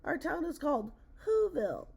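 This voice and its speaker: Teeny squeaky little mayor guy from a storybook, super high, polite n thankful. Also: sing-song, moderate pace, gravelly, a little mellow, full character voice. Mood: proud, friendly. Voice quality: thin, piping small voice. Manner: polite, earnest. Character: tiny Who mayor, squeaky, polite, grateful small creature.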